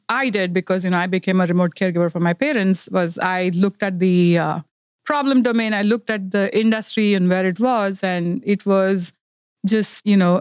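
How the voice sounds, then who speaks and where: Woman from india talking about her startup, smooth clear voice, dry close sound. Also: mid-range voice, dry recording. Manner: earnest, explanatory, with frequent fillers. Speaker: startup founder. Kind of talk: podcast interview.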